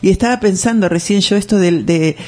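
A woman's voice: medium pitch, brisk, a little mellow. An older woman with a Rioplatense accent, a co-host musing earnestly on a radio chat, with many tag questions. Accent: Rioplatense Spanish.

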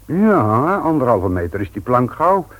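An actor playing a simple, good-natured customer in a radio play, folksy and unhurried, often repeating himself. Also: male voice, mid-range voice, very animated. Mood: plain, pleased. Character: simple, good-natured male customer who dislikes reading. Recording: old radio drama recording.

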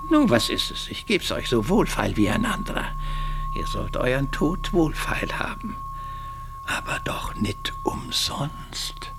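An actor playing a mocking, haggling pawnbroker in a radio drama, sing-song and swooping between cries and whispers. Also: male voice, very high voice, huge pitch swings, slow pace, background noise, full character voice. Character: cackling, haggling old-world pawnbroker selling a knife.

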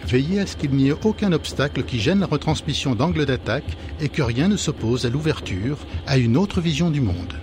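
A man's voice: fairly high pitch, lively intonation, fairly quick, hardly any pauses, noisy background. A man hosting a community radio show, playful and jokey as he introduces guests.

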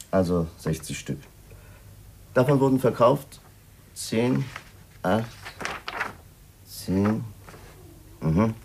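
An actor in a 1950s German radio play as a curt, insistent police commissioner, probing and official, with a husky voice. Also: male voice, fairly low pitch, background noise, full character voice. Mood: brisk, official. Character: insistent, businesslike male police commissioner questioning a suspect.

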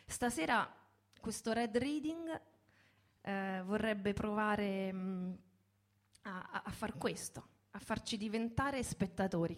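A woman in her thirties introduces a live theatrical reading in a reflective, halting way, with pauses and repeated words. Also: medium pitch, darkish tone. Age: 30s. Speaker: host and presenter of a live reading.

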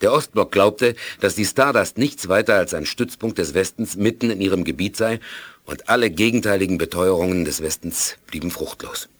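An actor narrating a science-fiction radio play as a serious, measured storyteller. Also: male voice, low voice, lightly acted. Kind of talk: scripted radio drama narration.

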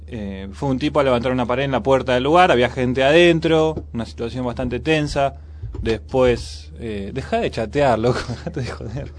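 A man's voice: medium pitch, moderate pace. A man with a Buenos Aires accent giving informal, opinionated news commentary as a radio co-host. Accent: Buenos Aires Argentine. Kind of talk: casual commentary on local news.

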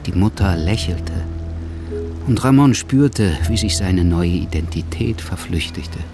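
Male narrator for radio drama, low register, clear music bed beneath. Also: lively intonation, a little slow.

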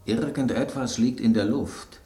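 An actor in a German radio drama playing an aging, worldly bread loaf who loves fine food, speaking in a theatrical, knowing, pointed way. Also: male voice, medium pitch, unhurried, dark tone, reverberant, natural voice. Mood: suspicious. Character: aging bread loaf, male, worldly gourmet, suspicious, self-assured.

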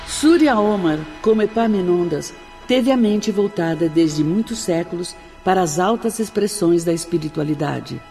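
A woman's voice: on the low side, animated, moderate pace, hardly any pauses, warm tone. A Brazilian woman acting an exalted spirit sister who instructs souls in formal, reverent radio-drama oratory. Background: music.

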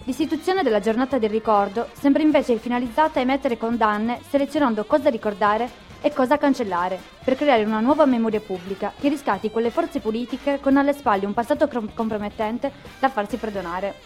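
A woman presenting a history feature on the radio, reading a script in a measured, expository way. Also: fairly high pitch, a little mellow, soft background music.

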